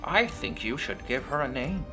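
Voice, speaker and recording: A man's voice: mid-range voice, expressive, normal speed, over music, voice pushed up, close to an everyday voice, poor audio. A man voicing a male felt puppet in a horror story, persuasive and falsely friendly with a rehearsed manner.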